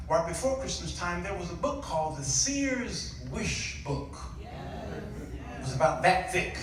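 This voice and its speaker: An older man giving a conversational storytelling sermon with dramatic pauses and homely acted-out dialogue. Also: a little high. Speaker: guest preacher. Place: church, picked up from a distance. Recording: echoey room, distant mic, noisy background.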